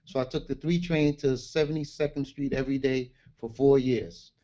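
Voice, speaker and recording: Mellow-voiced New man, lo-fi audio. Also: mid-range voice, normal speed. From Brooklyn, New York. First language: Garifuna. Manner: relaxed and plainspoken, with street slang. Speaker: guest author. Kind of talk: casual interview on a livestream.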